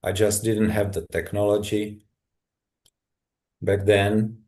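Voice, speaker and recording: A middle-aged man from Czechoslovakia with a Czech accent, a guest telling his story in a halting, thoughtful way with many restarts. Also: on the low side, level tone, a little mellow. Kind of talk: personal story in a conversation.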